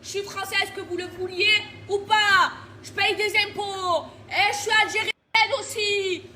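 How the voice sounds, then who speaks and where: A woman's voice: extremely high pitch, steady tone, unhurried, pushed and bright. Upset woman ranting, echoey and far. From France. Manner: indignant, shaky, half laughing half crying. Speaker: influencer in a clip. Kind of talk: emotional rant to camera. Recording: echoey noisy room from off mic.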